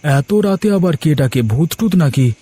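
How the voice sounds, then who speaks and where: A man's voice: a little high, lively intonation, normal speed, warm tone, breathy edge. A man playing a blunt but concerned village neighbour in a cartoon. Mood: alarmed. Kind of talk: Bengali moral-story cartoon dubbing.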